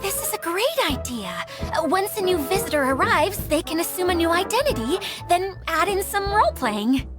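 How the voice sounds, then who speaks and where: High, dramatic, flustered magical caretaker. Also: female voice, normal speed, hardly any pauses. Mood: agreeable. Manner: dramatic, expressive, self-deprecating. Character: lazy, easily flustered young female magical caretaker. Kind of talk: scripted fantasy game dialogue. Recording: faint music behind the voice.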